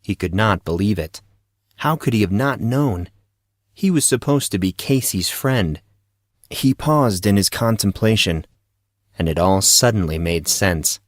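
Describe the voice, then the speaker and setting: A man narrates a fiction audiobook in a low voice. The recording is close and dry, with the delivery of scripted storytelling. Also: expressive, a little slow, dry recording.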